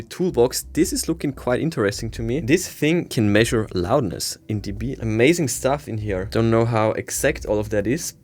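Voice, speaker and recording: A man's voice: medium pitch, darkish tone. A man doing a casual, hands-on tech review in an informal, enthusiastic manner with short exclamations.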